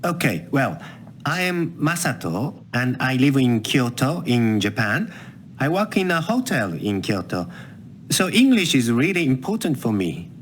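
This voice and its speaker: Man doing his intro in a coursebook clip, pitch jumps around a lot, faint noise. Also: sing-song, measured pace. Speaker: student in course audio. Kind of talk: scripted textbook dialogue.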